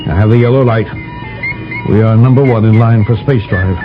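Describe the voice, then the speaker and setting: A man's voice: lowish voice, a little fast, close to an everyday voice. An actor playing an officious male starship commander in a 1970s radio drama, lecturing about rules. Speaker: ship commander. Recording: dull old radio broadcast with music.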